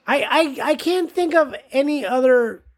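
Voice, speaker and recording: A woman's voice: high-pitched, expressive, measured pace. A woman co-hosting a casual film discussion, chatty and often searching for words.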